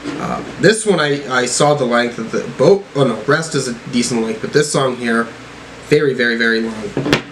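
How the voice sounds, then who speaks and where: A man giving a casual spoken album reaction, thinking aloud in a halting, hesitant way. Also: medium pitch, noisy background. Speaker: music reaction reviewer.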